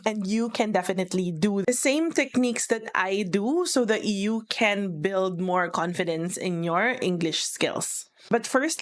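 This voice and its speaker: A young adult woman with a Filipino accent, speaking earnestly as she explains tips, often repeating her phrases. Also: mid-range voice. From Philippines. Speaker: virtual assistant operations manager. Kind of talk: instructional vlog talk.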